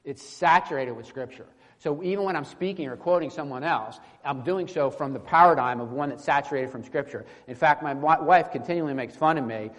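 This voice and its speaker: A man preaching an informal, teaching-style sermon in a halting, conversational way, often checking in with short tag questions. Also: on the high side, fairly bright tone. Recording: echoey hall with long reverb.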